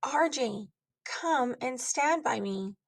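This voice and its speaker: A woman's voice: high voice, expressive, takes it slowly, vocal fry, no room sound, clean studio sound, full character voice. Woman acting a stern, scolding storybook mother. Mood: firm command. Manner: firm, admonishing. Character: stern, disapproving mother scolding her child. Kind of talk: children's storybook character voice.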